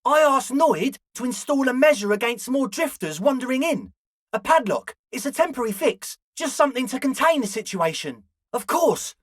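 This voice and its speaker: A man's voice: very high-pitched, expressive, moderate pace, no echo, clean studio sound. A man voicing an eager, flaky young male slacker ringleader in a video game, talking in an earnest, ingratiating, hedging way. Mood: earnest, placating. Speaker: voice actor. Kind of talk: video game character dialogue.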